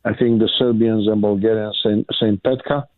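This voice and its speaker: A priest from Romania, calling in to a radio show. He speaks in a low voice and stops often to search for words. The sound is dry and thin, coming through a tinny phone line. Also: fairly even intonation, dry recording. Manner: halting, many hesitations. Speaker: caller, priest.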